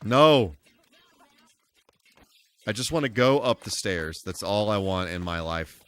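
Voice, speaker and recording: A man's voice: lowish voice, expressive, takes it slowly, smooth. A man giving casual live commentary while playing a video game, full of mild folksy exclamations and mock-exasperated asides. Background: music.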